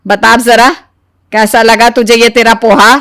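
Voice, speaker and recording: Woman acting a grumbling, high-voiced mother-in-law. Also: little pitch movement, measured pace, no echo, studio quality. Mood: dread, impatience. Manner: exasperated, muttering asides, sharp retorts. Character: grumbling, wary middle-aged Indian mother-in-law. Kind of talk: animated moral story voice acting.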